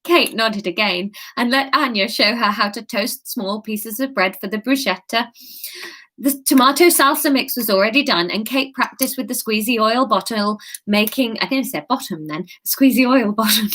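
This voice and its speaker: A woman's voice: a little high, normal speed, fairly bright tone. A slightly tipsy woman comedian reading a novel aloud on a live stream, giggly and chatty, breaking off to answer comments. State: a bit tipsy. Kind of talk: live-streamed storybook reading with chat asides.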